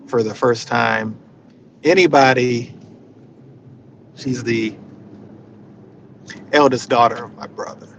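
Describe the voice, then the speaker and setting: A man with a mid-pitched voice gives an informal inspirational talk at a slow pace. He is reflective and halting, circling back to repeat phrases. Clear ambient noise, like that of a vehicle, sits behind his voice. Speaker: spiritual session leader.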